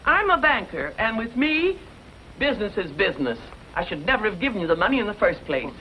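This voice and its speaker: An actress playing a stern, no-nonsense banker in a 1940s western style, brisk and scolding. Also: female voice, medium pitch, big pitch swings, pushed and bright, rough recording. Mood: stern, businesslike. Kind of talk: 1940s western film acting. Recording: hissy old film soundtrack.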